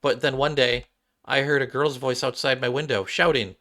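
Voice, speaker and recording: A man voicing a shy, earnest young android gamer girl from a game story, halting and heartfelt. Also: medium pitch, fairly even intonation, pushed and bright, pitched above the performer's own voice, natural voice. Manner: halting, heartfelt, repeats and restarts.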